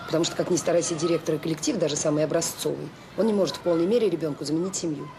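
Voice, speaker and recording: Female orphanage director for Soviet radio drama: quick, lowish voice, courteous on the surface, pointedly ironic underneath. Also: fairly even intonation, noisy background. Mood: firm, cutting. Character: dutiful orphanage director, dryly ironic, firm with relatives. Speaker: actress in an audio drama.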